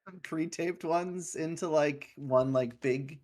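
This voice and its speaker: A man playing a tabletop game, chatting casually in a halting way that tends to trail off. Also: fairly high pitch, darkish tone. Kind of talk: casual chat.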